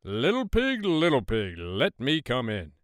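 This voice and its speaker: A man voicing a sly, hungry male wolf in a storybook reading, loud and drawn out when he threatens. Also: mid-range voice, wildly swooping pitch, unhurried, no room sound, clean studio sound. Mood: coaxing.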